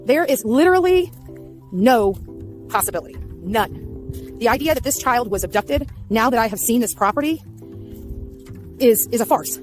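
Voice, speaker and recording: Brief: somewhat high-voiced woman, blunt and certain, faint music underneath. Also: expressive, normal speed, long pauses. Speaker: online case commentator. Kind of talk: on-location video commentary.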